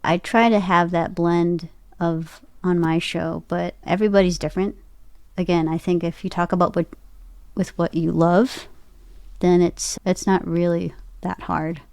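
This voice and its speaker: A woman's voice: on the low side, flat delivery, a little slow, darkish tone, dry recording. A woman speaking casually as a guest in an interview about her own work. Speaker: podcast guest, voice actor and podcaster.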